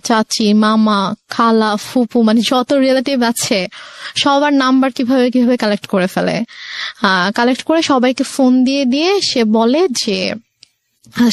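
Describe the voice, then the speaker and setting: A young woman with a Bangladeshi accent telling her personal story as a guest, conversationally and with hesitant pauses. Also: a little high, no room sound. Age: young adult.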